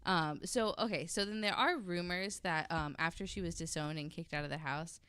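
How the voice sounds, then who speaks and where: A woman's voice: lowish voice, moderate pace, a bit bright, smooth, no echo. A woman podcast host telling a story in a casual, conversational way, with wry, informal asides.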